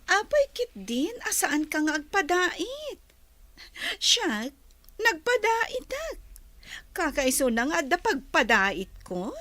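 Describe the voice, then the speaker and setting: A woman acting as a chatty, excitable young woman in a radio drama. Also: very high voice, takes it slowly, dry recording, heavily acted. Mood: excited, chatty.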